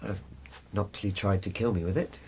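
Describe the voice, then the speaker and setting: Deep-voiced, cagey teenage boy. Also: fairly even intonation, a little fast, a little mellow, slightly rough. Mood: guarded. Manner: hesitant, evasive. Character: cagey, cool teenage boy under police questioning. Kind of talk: radio drama acting.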